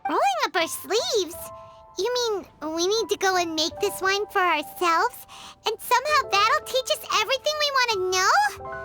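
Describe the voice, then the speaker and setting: A woman voicing a tiny, childlike fairy companion in a video game, squeaky, perky and cheeky. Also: lively intonation, moderate pace, full character voice. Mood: skeptical, indignant. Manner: perky, refers to self in third person. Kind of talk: animated video game character acting. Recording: loud music behind the voice.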